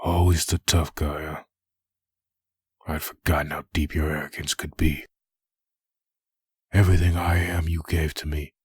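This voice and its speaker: A man playing a vengeful undead antihero in a comic dub, raspy, bitter and accusing. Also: rumbling low, little pitch movement, unhurried, long pauses, a little mellow, dry recording, studio quality, full character voice. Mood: bitter, taunting. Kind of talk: fan comic dub acting.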